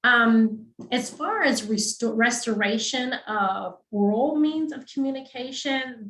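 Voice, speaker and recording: A woman's voice: medium pitch, a bit bright. Woman, speech therapist, explanatory webinar talk, patient teacherly manner, echoey room. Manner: patient, teacherly, checks in with "okay?". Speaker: speech-language pathologist.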